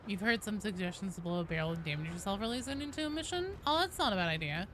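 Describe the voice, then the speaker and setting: Bright-voiced woman streaming games. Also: medium pitch, lively intonation, fast, a little hiss or hum. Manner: informal, sweary, gamer slang. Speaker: game streamer. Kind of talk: casual live gameplay commentary to chat and teammates.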